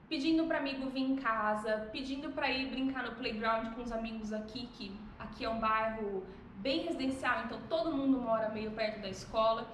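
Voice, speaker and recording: A woman's voice: high-pitched, a bit bright, echoey room, a little hiss or hum, poor audio. A Brazilian woman in her thirties, a mother telling her family's story in a casual vlog, reflective, with frequent tag questions. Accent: Brazilian Portuguese. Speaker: vlogger, mother.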